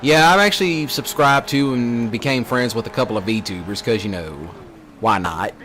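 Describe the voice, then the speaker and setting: A man's voice: mid-range voice, normal speed, a bit bright. A man, a folksy gaming channel host rambling casually in a teasing rant. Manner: folksy, teasing, mocks others in put-on quoted voices. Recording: clear background noise, car engine sounds. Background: vehicle sounds from a driving video game.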